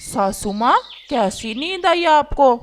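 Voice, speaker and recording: A woman's voice: high voice, animated, slow pace, a little hiss or hum. A woman voicing a hapless, henpecked male crow in a Hindi cartoon, with a whiny, ingratiating manner. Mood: eager to please. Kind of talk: Hindi cartoon character acting.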